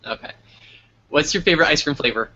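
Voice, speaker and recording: Guy hosting a laid-back live interview, bright sharp voice, kinda lo-fi audio. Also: on the high side, fast. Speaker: interview host.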